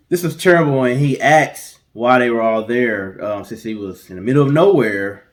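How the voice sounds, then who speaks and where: Male co-host, average pitch, telling a story in a chatty, colloquial, joking way. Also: moderate pace. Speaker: co-host of a story guessing show. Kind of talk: casual storytelling with chatty asides.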